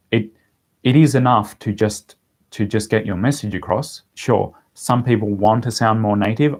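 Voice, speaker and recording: A man with an Australian accent chatting casually as he answers viewers' questions on a livestream. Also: lowish voice. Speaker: language-learning vlogger answering viewer questions.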